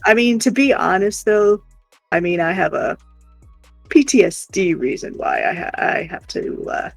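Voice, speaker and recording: A woman's voice: mid-range voice, very animated, normal speed, vocal fry, dry recording, faint music behind. A woman co-hosting a livestream in casual, rambling chat. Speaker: livestream co-host.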